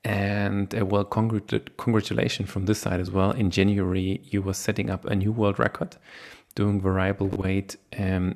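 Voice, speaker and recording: A man's voice: lowish voice, gravelly, darkish tone. A man hosting a podcast interview in a conversational style over an online call. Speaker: podcast host.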